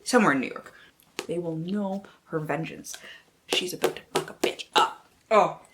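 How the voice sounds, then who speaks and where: A woman's voice: lowish voice, normal speed, very noisy. Lady doing a casual book vlog, super dramatic and playful, swears a lot, slangy. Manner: theatrical, playful delivery. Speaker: book vlogger.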